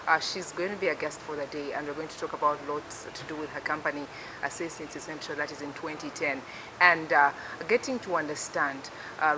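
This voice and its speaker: Chatty woman hosting a TV show. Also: low-pitched. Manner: chatty, filler-heavy, upbeat. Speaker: TV business show host. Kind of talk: broadcast interview hosting. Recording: noisy recording with steady hiss.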